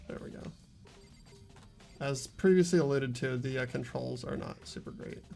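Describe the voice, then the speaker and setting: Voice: mid-range voice, moderate pace, vocal fry, warm tone, soft background music. A game host giving casual, informal commentary and explaining things to fellow players.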